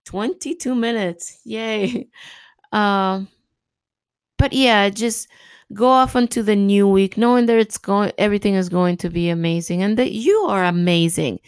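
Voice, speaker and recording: An upbeat woman, a native Spanish speaker, rambles encouragingly through a casual podcast. Her voice is smooth and clear, and the middling pitch sits in a dry, close recording with no room sound. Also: steady tone, a little slow, no room sound. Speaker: podcast host. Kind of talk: casual motivational monologue.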